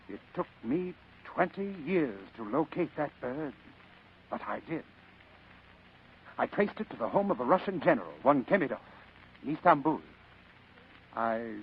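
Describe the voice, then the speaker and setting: An actor playing a genteel, sly older crime boss in a 1940s radio drama, with ornate, leisurely, courtly speech. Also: male voice, medium pitch, a little hiss or hum. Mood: smug storytelling. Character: genteel, sly criminal mastermind, a fat older man. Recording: muffled vintage radio broadcast, rough sound.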